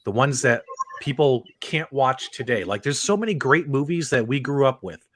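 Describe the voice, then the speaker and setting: A man's voice: mid-range voice, expressive, moderate pace, fairly bright tone. A middle-aged man hosting a casual live panel chat, talking emphatically and often checking in with tag questions. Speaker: live stream host.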